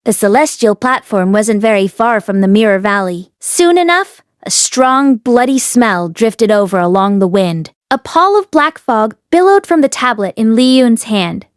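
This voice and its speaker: A woman's voice: fairly high pitch, clean tone, dry recording, clean studio sound. A woman reading a fantasy novel aloud as an audiobook narrator. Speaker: audiobook reader. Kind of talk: expressive storybook narration.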